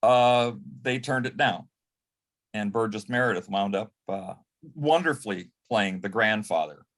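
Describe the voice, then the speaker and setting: A man's voice: lowish voice, unhurried, fairly bright tone. A man speaking as a panel guest, reminiscing in a conversational, anecdotal way.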